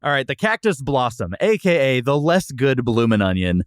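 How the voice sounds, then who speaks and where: A man's voice: mid-range voice, lively intonation, normal speed, smooth, no room sound, clean studio sound. A man hosting a podcast, chatting casually about food in an opinionated, jokey way. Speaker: podcast host. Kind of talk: casual food review chat.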